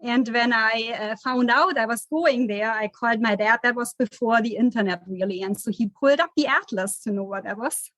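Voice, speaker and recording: A woman's voice: fairly high pitch, normal speed, fairly bright tone, no echo, poor audio. Woman, German accent, 40s, chatty interview guest, dry close sound. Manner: chatty, with many fillers and restarts. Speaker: guest, architect and consultant. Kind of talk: casual interview answers.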